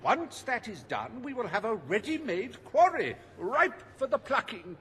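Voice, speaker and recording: Pompous, high-voiced smug industrialist. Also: male voice, very animated, measured pace, a bit bright, slight background noise, full character voice. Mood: smug persuasion. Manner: pompous, drawling, theatrical oratory. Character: pompous, smug male industrialist, theatrical and greedy. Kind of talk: theatrical video game acting.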